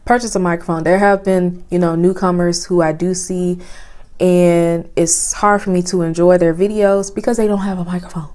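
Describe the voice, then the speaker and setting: A soft-spoken young woman, chatting casually with lots of fillers as she reviews cameras at home, recorded on a phone with a clip-on mic. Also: lowish voice, steady tone, moderate pace. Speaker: vlogger. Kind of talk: casual product review vlog. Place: home, indoors. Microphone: phone with clip-on lapel mic.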